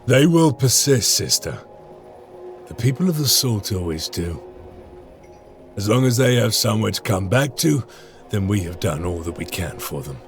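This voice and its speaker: A gravelly marine lieutenant. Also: male voice, on the low side, very animated, faint music behind. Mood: calm. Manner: measured, resolute. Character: grave male space marine lieutenant, steadfast. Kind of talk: video game cutscene voice acting.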